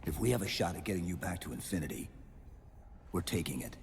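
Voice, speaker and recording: An actor playing a stoic, terse armored super-soldier in a video game, commanding and restrained. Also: male voice, deep voice, expressive, quick pace, gravelly, slight background noise. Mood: resolute. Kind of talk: video game cutscene acting. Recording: loud game music behind.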